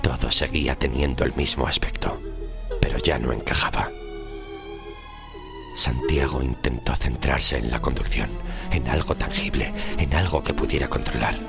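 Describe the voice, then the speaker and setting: A man narrating a scripted horror story in deliberate, suspenseful phrases. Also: rumbling low, steady tone, creaky. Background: loud music.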